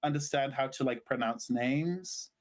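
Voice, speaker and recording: A man from Zimbabwe co-hosting a podcast, chatting casually and telling stories in a halting, rambling way. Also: mid-range voice. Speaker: podcast co-host. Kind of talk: casual chat and storytelling.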